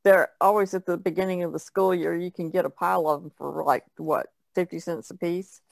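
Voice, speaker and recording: A woman's voice: fairly low pitch, steady tone, fairly quick, gravelly, no room sound. A middle-aged woman talking casually as a podcast co-host. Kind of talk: casual chat.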